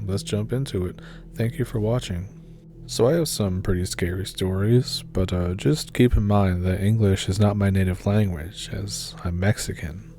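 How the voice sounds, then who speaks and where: Low, mellow-voiced man narrating horror. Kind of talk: reading submitted scary stories aloud.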